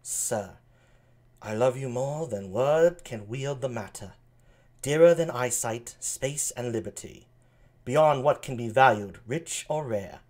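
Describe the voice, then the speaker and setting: A flattering princess who speaks softly and lower than the other parts, as a man puts her on. Her words come slow and hushed, with a lively lilt. It sounds like a home reading of a stage play. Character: flattering eldest royal daughter, woman.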